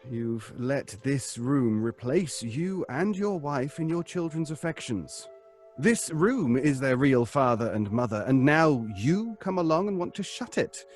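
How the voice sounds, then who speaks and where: A grave, measured psychologist, played by a man whose voice moves expressively between high and low. He gives advice calmly, with faint live music underneath. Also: lightly acted. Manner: measured, advising. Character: calm, grave male psychologist. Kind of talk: live audio drama acting.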